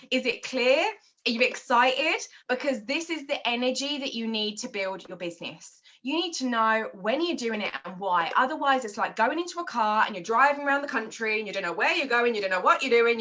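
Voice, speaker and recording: A woman's voice: fairly high pitch, sharp tone, lo-fi sound. A woman with an English accent from London leading a motivational training call, asking direct questions. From London, England.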